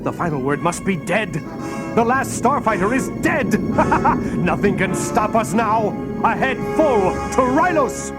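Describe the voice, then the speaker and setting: A man's voice: high voice, sing-song, unhurried, long pauses. A man voicing a gloating, power-hungry alien commander in a dramatic storybook read-along. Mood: gloating triumph. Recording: loud music and effects behind.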